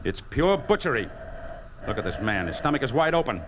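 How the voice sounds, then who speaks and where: A man's voice: medium pitch, very animated, brisk, close to an everyday voice. An actor playing a blunt, principled male surgeon with clipped, commanding delivery in a 1950s radio drama. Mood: exasperated. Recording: noisy old 1950s radio recording.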